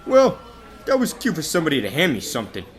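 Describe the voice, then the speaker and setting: A man's voice: on the high side, huge pitch swings, fast talker. A man playing a brash, self-serving hustler in a radio drama, with slangy, wisecracking showman talk. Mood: grumbling, blunt.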